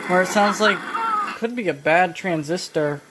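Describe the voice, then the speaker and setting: A woman talking casually through a hands-on repair demonstration, halting and thinking aloud as she goes. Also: lowish voice. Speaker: vintage electronics repair hobbyist. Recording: noisy home video, steady background noise. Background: clear background noise.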